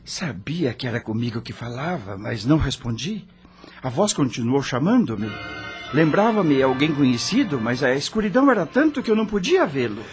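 A man's voice: medium pitch, very animated, normal speed, music in the background, lightly acted. An actor playing an anguished dead male rock star, narrating his own story in a theatrical, brooding radio drama style. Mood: uneasy.

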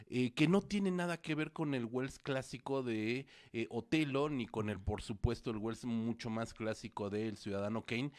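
A man reviews films on a studio talk show. He talks at length in a loose way, full of fillers and restarts. His pitch sits in a normal middle range. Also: a little mellow. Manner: discursive, many fillers and restarts. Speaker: co-host film critic. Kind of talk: casual film review chat. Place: studio with producer at console.

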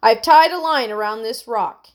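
A woman's voice: high voice, expressive, unhurried, voice pushed up. A woman voicing an earnest, stubborn boy in a children's story, confident and insistent. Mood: instructing. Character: earnest, stubborn boy inventor, sure of his plans.

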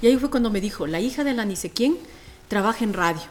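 A woman with an Ecuadorian accent and a deep, strong voice, chatting casually and colloquially as a radio presenter telling stories in an animated way. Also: fast talker. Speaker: radio presenter being interviewed. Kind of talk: casual podcast chat. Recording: music playing behind the voice. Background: music.

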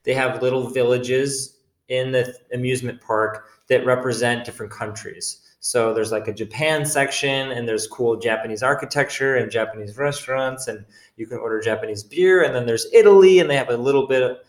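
A man tells a story with a playful, jokey manner in a relaxed conversation. His pitch sits in the middle range. The room adds a noticeable echo around his voice. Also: a little fast. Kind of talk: casual chat.

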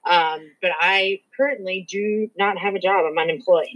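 A woman's voice: medium pitch, steady tone, moderate pace, lo-fi sound. A woman in her thirties from Louisville with a Kentucky accent, co-hosting a casual podcast in a sociable, laughing way. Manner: friendly, sociable, laughs often. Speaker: podcast co-host.

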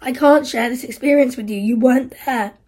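Woman reading a grieving, furious young man; husky, mellow tone. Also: a little high, fairly even intonation, normal speed. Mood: bitter, angry. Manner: anguished, bitter, raised voice. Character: grief-stricken angry young man, survivor.

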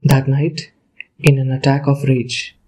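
A man's voice: medium pitch, little pitch movement, a little slow, very noisy. A man narrating a scripted audio play as a dramatic storyteller.

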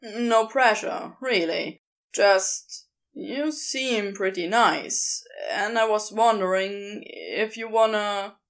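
A woman's voice: medium pitch, slow. A woman voicing a laid-back, cheeky man with a casual, easygoing drawl in a story reading. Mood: coaxing.

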